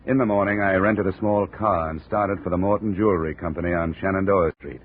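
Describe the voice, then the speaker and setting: Actor as terse tough-guy investigator, deep voice, old radio, faint hiss. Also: fairly even intonation, a little fast, narrow, tinny audio, natural voice. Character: hard-boiled male insurance investigator. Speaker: lead actor and narrator. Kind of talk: 1950 radio drama narration.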